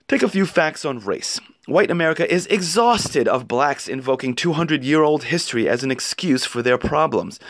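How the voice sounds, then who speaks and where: A man reading an opinion essay aloud in an expressive, emphatic and rhetorical way. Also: a little high, no echo, studio quality. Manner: emphatic, rhetorical delivery with pointed questions. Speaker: narrator reading an article aloud.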